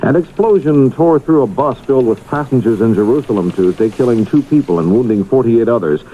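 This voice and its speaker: A man's voice: medium pitch, animated, fairly quick, warm tone, slight background noise, low-quality recording. A man reading scripted news as a radio anchor on a 1970s broadcast.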